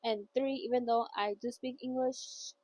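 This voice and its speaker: A woman's voice: fairly high pitch, fairly even intonation, moderate pace, darkish tone. A woman hosting a casual novel read-along who rambles chattily and often trips over words. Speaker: book reader and host.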